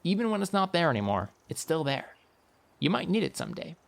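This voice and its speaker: A woman's voice: fairly low pitch, sing-song, a little fast, vocal fry, voice pushed up. A woman voicing a carefree, theatrical uncle who travels for adventure and talks in a playful, wordy, mock-grand way. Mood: breezy, enthusiastic.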